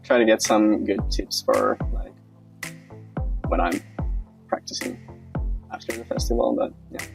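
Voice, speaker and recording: A man's voice: lowish voice, a little slow, vocal fry, long pauses, faint music behind. A man in his twenties from Finland, giving a casual interview and thinking aloud in a hesitant way. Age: 20s. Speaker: interviewee, acroyoga practitioner.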